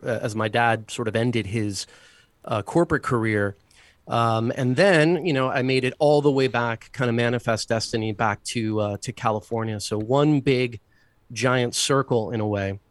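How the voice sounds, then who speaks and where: A man's voice: medium pitch, no echo. Thoughtful man telling his life story. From United States. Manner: thoughtful, unhurried, with frequent fillers and restarts. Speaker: interview guest, deacon. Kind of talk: reflective personal storytelling.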